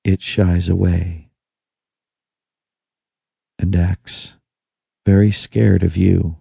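A deep, very dark and mellow male voice reads a guided meditation. He speaks in hushed, soothing phrases with long pauses between them. The tone is heavily husky and breathy. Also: little pitch movement, no echo. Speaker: audiobook narrator. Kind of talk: guided meditation, scripted narration.

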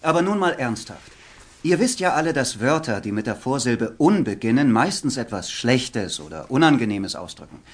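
A man's voice: medium pitch, big pitch swings, normal speed, a little hiss or hum, natural voice. A man playing a patient, good-natured schoolteacher in a children's audiobook comedy, speaking in a hesitant, questioning classroom way. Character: patient, good-natured male schoolteacher fielding pupils' jokes. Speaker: teacher in an audiobook sketch.

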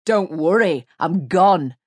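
A woman's voice: lowish voice, animated, slow pace, husky, heavily acted. Curt young man, voiced by a woman narrator, storybook. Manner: curt, dismissive. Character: sullen young man walking out.

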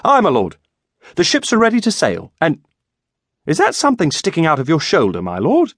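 A man's voice: fairly high pitch, huge pitch swings, moderate pace, no room sound, studio quality, pitched above the performer's own voice, exaggerated voice. A man voicing an eager, chatty young squire who rambles anxiously and deferentially to his lord in an audiobook. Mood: anxious, puzzled.

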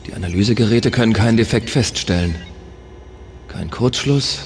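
A man playing a thoughtful, kindly space captain in a scripted sci-fi audio drama, measured and reflective. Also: fairly low pitch, darkish tone, slight background noise, close to an everyday voice. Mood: concerned. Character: male space traveller, thoughtful, kindly captain of a small ship. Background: music.

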